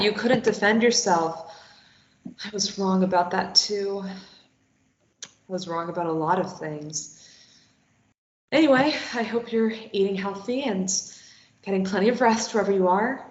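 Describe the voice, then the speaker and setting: A woman's voice: medium pitch, lightly acted. A woman voicing a guilt-ridden, grieving former addict in a table read of a stage play, speaking in a bitter, subdued, self-blaming way. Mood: tender. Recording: dull echoey room sound.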